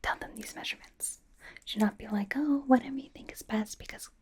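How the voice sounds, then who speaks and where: A woman performing a whispered ASMR roleplay as a bubbly, doting young pop idol stylist, breathy, playful and reassuring, with chatty asides. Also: on the high side, a little fast, darkish tone.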